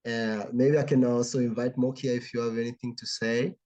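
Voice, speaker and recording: A man from Tanzania, speaking as an artist in an earnest, reflective way and often pausing to search for words. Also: mid-range voice, level tone, dark tone. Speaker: artist guest. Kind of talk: artist talk, reflective explanation.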